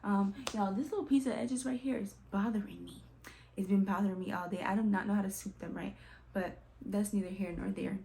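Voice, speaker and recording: A woman's voice: mid-range voice, steady tone, brisk, creaky, warm tone, a little hiss or hum. A woman hosting a reaction video, talking casually and informally to her viewers, often addressing them as y'all. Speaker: host of music reaction videos. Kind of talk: casual chatty commentary.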